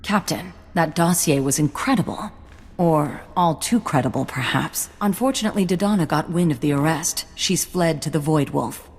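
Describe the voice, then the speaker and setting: A composed intelligence officer for a game. Her voice is low and measured, businesslike throughout. It carries a long reverb. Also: breathy edge. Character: composed female military intelligence contact, businesslike. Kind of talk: video game cutscene acting.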